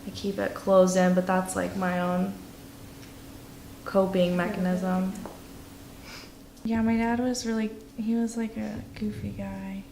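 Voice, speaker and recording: A woman of twenty-three speaking candidly and haltingly about personal things in a documentary interview. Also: mid-range voice, flat delivery, dark tone, a little hiss or hum. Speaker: documentary interviewee, nursing student. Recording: roomy echo with music behind. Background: instrumental music.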